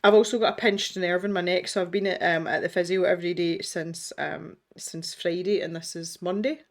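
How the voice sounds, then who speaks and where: A woman's voice: on the low side, steady tone, a little fast. A woman host, congested from a cold, chatting casually while she colours. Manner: chatty, self-deprecating, thinks aloud. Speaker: art channel host. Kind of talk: casual colouring tutorial chat.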